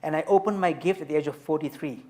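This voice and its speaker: A man in his fifties, from Tanzania, telling his story as an author and speaker on a festival panel, measured and emphatic. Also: on the high side, a little mellow. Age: 50s.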